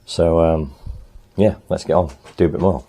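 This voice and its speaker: Low mellow guy in his workshop, bit hoarse, lots of ums as he works. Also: normal speed. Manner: chatty, thinks aloud while working, frequent ums. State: slightly hoarse, clearing throat. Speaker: motorcycle restorer and channel host. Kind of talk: casual workshop talk to camera. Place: home workshop in the countryside.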